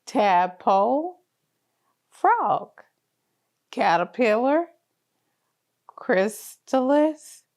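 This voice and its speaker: Slow, lively woman reading to toddlers. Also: medium pitch. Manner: sing-song, encouraging, child-directed. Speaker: children's story reader. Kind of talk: read-aloud picture book narration for toddlers.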